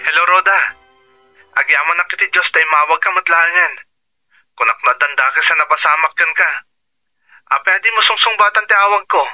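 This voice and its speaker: A man's voice: on the high side, moderate pace, long pauses, soft background music, lightly acted. A man with a Filipino accent acting a concerned, affectionate husband in a radio drama, on a dull lo-fi call. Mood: worried.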